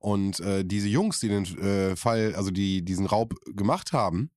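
Ein Mann, der in lockerem Gespräch schnell einhakt und gern witzelt. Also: male voice, lowish voice, normal speed, darkish tone, no echo, studio quality. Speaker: podcast co-host. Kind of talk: casual chat.

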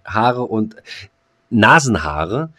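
A man's voice: lowish voice, big pitch swings, drawn-out words, pushed and bright, dry recording. A man co-hosting a podcast over a video call, joking bluntly and crudely. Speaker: podcast co-host.

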